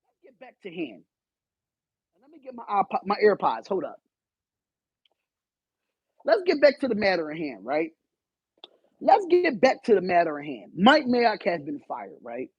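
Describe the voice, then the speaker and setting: A man hosting a live stream, loud and animated, swearing and arguing back at his chat. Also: sits high, measured pace, pauses often, husky, dry recording, lo-fi sound. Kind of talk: casual live stream rant.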